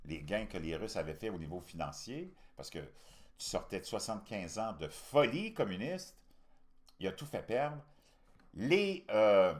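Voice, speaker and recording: Québécois man, low voice with big pitch swings. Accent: Québécois French. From Canada. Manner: opinionated, colloquial, emphatic. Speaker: host commentator. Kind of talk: casual political commentary.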